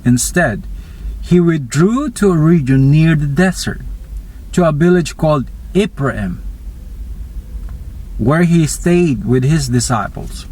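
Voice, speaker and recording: A man reading scripture as a dramatized narration, deliberate and expressive. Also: a little high, rough recording. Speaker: scripture reader.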